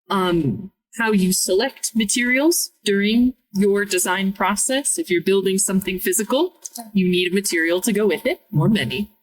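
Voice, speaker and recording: A woman in her thirties from Minnesota, a materials scientist answering interview questions in a clear, explanatory way. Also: mid-range voice, far from the mic, rough recording. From Minnesota, United States.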